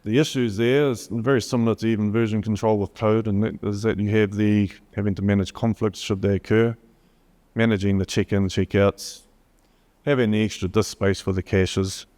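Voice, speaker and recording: A man's voice: fairly low pitch, little pitch movement, moderate pace, darkish tone. A man with a New Zealand accent giving a technical conference talk, hesitant with frequent fillers as he reads from slides. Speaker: conference presenter. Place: conference hall. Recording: long hall reverb.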